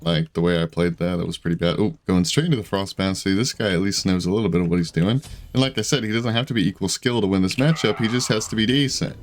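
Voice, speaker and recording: A man in his twenties giving casual, chatty gameplay commentary from his room, self-deprecating and quick to laugh. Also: sits low, a little hiss or hum. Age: 20s. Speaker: gaming streamer. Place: home room at a desk.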